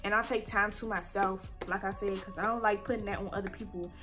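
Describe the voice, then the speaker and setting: A young woman in her twenties chatting casually and warmly while she does her makeup, her nose stuffy from allergies. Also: mid-range voice, fairly even intonation, fast talker, music in the background. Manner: chatty, heartfelt, colloquial. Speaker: beauty vlogger. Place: bedroom, indoors.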